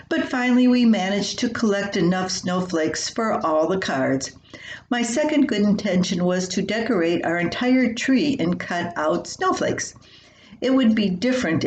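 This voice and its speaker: An older woman reading her own humorous personal column aloud in a folksy, expressive storytelling way. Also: mid-range voice. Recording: roomy echo with faint hiss.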